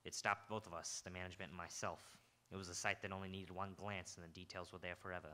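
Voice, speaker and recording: Male actor as a brooding police detective, very low pitch, forceful, terse, live radio noir. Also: little pitch movement, quick pace. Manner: terse, brooding, clipped questioning. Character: hard-boiled world-weary male police detective, narrating moodily. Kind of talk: live radio noir drama acting and narration.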